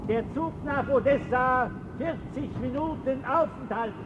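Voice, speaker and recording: Station announcer, very high calling voice, heavy noise, 1960 radio play. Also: male voice, unhurried, lo-fi sound. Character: railway station announcer calling out a train stop. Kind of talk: called-out announcement in radio drama.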